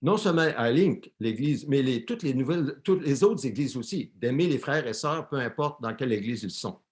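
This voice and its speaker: A man's voice: medium pitch, lively intonation, moderate pace. A man interpreting a sermon live for a church, often repeating and correcting words as he translates.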